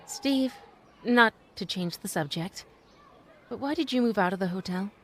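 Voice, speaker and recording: An actress playing a gentle, guarded young mother, proper and hesitant, in an old-time radio drama. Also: medium pitch, animated, takes it slowly, slight background noise. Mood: defensive.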